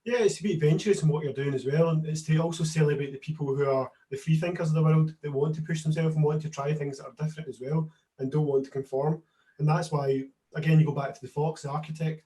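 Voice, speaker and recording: Fast-talking man, distant and muffled. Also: fairly high pitch, steady tone, mellow. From Scotland. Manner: enthusiastic, repeats "as well". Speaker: brand co-founder. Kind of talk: casual interview chat.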